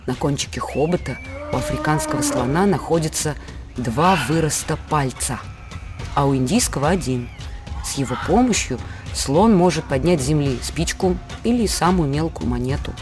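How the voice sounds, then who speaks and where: A patient mother, played by a woman, speaks in a scripted children's programme with music behind her. Her delivery is measured and explanatory, like storytelling with a lesson in it. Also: sits low, sing-song. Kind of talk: scripted educational narration for children.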